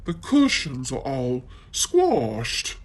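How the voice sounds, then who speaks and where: A storyteller voicing a mother bear in a storybook, with a soft, low voice. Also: huge pitch swings, very slow, heavily acted.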